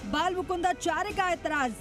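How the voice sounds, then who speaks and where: High-pitched woman narrating a news report. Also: moderate pace. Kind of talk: scripted news voice-over. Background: music under the voice.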